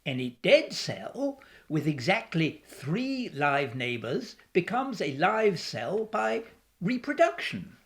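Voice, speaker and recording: Female scientist, varied melody, measured lecturer, philosophical, resolute, radio drama. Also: on the low side, very animated, exaggerated voice. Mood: lecturing. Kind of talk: radio play acting.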